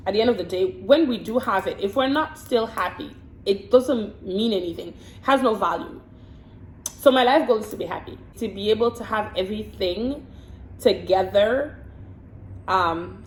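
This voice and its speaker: A woman from the Congo chats frankly through a casual get-to-know-me video, reading each question aloud before answering. She often repeats a word or two while she gathers her thoughts. The room around her sounds roomy and echoey, with a faint hiss behind her. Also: mid-range voice. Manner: frank and chatty, repeats words while thinking. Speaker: vlogger and hair and makeup artist.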